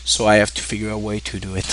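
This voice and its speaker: A man from Quebec whose first language is French, speaking English with a Québécois French accent, talking casually to his viewers in a halting way with many fillers and restarts. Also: lowish voice, sharp tone, slight background noise, low-quality recording. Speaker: streamer. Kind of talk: casual thank-you talk to viewers.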